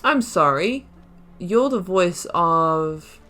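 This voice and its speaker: A woman's voice: fairly low pitch, animated, very slow, fairly bright tone, soft background music. A woman giving casual, reflective, rambling commentary as she hosts a video game playthrough. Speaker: gaming video host.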